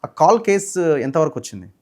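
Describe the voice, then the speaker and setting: A man's voice: a little high, sing-song, a little fast, darkish tone. A man interviewing in a conversational, probing way. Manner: probing, interjects short acknowledgements. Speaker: journalist interviewer.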